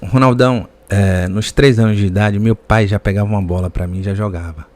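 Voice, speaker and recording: Man, Bahian Brazilian accent, lowish pitch, podcast guest, conversational storytelling. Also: steady tone, brisk, a little mellow. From Salvador area, Bahia, Brazil. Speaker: podcast guest, personal trainer.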